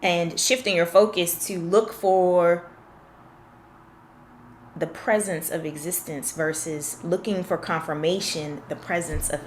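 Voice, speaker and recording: A woman's voice: fairly low pitch, steady tone, slow, echoey room, slight background noise. A woman confidence coach in a one-on-one session, explaining with examples and asking probing questions.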